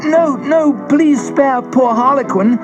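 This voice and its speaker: A man's voice: very high voice, slow, over music, pitched above the performer's own voice. A man voicing a young wooden puppet boy, earnest and pleading, in a storybook reading. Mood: desperate pleading.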